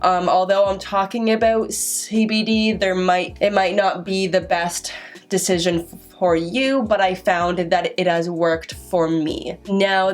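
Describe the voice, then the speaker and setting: A young woman in her twenties vlogging to camera, talking openly and stuttering with repeated sounds and restarted words. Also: lowish voice. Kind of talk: casual vlog talk to camera.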